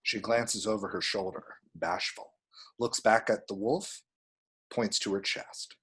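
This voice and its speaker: A man reading screen directions aloud as expressive scripted narration. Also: lowish voice, a little slow, slightly rough. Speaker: reader of action descriptions.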